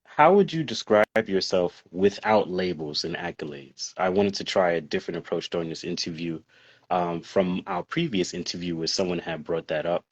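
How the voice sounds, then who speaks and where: Deep-voiced tired man hosting. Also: normal speed. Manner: friendly, laid-back, with fillers. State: a bit tired. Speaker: interview host. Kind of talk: casual interview over a call. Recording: dull lo-fi audio.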